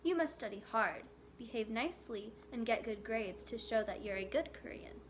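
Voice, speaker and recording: A woman's voice: fairly high pitch, lively intonation, normal speed, a little hiss or hum, natural voice. A woman voicing a firm, loving, encouraging mother in a children's storybook.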